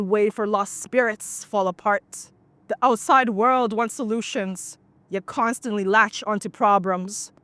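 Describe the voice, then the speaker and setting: A woman's voice: medium pitch, dry recording. A woman from Toronto whose first language is Cantonese, a blunt and reflective artist speaking in a documentary interview. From Toronto, Canada. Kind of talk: documentary interview and spoken-word reading.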